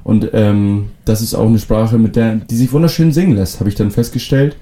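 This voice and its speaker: Young Frisian man, dark mellow voice. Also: on the low side, normal speed. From Föhr, northern Germany. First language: North Frisian. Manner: relaxed, many fillers and restarts. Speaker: musician guest. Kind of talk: casual interview answers.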